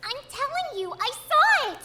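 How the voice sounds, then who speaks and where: A woman's voice: extremely high pitch, sing-song, moderate pace, pushed and bright, slight background noise, exaggerated voice. An actress voicing an earnest, insistent young girl who speaks emphatically.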